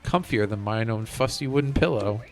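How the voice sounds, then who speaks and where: A man's voice: lowish voice, moderate pace, a little mellow, lightly acted. A man voicing a flowery townsperson from a cartoon game in theatrical, old-time English phrasing. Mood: grateful, florid. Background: game music.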